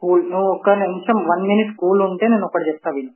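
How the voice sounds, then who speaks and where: A man's voice: high-pitched, rapid-fire. A man making a prank phone call, persistently pushing his proposal. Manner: pleading, persistent, pushy. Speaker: prank caller. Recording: tinny phone line.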